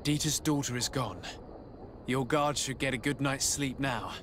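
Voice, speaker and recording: Game hero role, male actor: polite, composed young-noble diplomat, mid-pitched, audible background noise. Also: measured pace, close to an everyday voice. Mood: calm, discreet. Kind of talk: video game cutscene acting.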